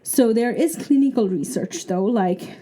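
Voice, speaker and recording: A woman's voice: fairly high pitch, animated, unhurried, mellow. A woman from Bangladesh with a Bangladeshi accent, a PhD student explaining her research thoughtfully with many fillers in an interview. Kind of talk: interview answer.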